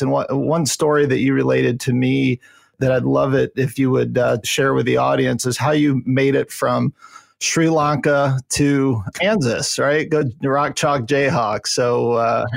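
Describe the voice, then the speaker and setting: A man's voice: medium pitch, gravelly. A man hosting a science podcast, reading an introduction and asking loose, rambling questions. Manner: loose, filler-heavy questioning. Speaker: podcast host.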